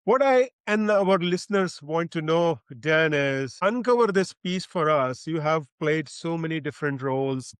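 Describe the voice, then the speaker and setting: An effusive male podcast host with a slightly high-pitched voice gives a formal welcome. His delivery is full of praise and "uh" fillers. The sound is dry and close, with no room echo. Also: lively intonation, moderate pace, hardly any pauses, smooth. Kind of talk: podcast interview, reading a guest introduction.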